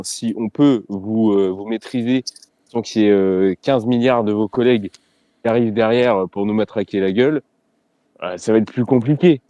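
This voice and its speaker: Low, dark-voiced riot cop. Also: male voice, no echo. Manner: halting, frank, repeats phrases while searching for words. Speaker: riot police officer. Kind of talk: debate.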